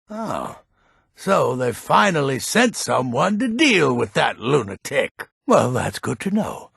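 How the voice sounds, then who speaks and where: Gravelly, grumpy old fantasy game man. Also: mid-range voice, a bit bright, no room sound, clean studio sound, exaggerated voice. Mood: stern, grumpy. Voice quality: raspy, gravelly. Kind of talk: fantasy video game character acting.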